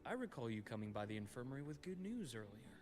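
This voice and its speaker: An actor playing a calm, caring male doctor with a measured, reassuring way of speaking. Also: mid-range voice, close to an everyday voice. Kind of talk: game voice acting. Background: game music.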